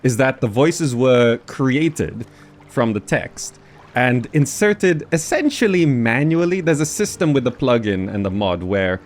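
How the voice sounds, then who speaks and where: A man's voice: mid-range voice, lively intonation, measured pace. A man giving casual gameplay commentary, thinking aloud in a hesitant way as he goes. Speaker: gamer presenting a game mod.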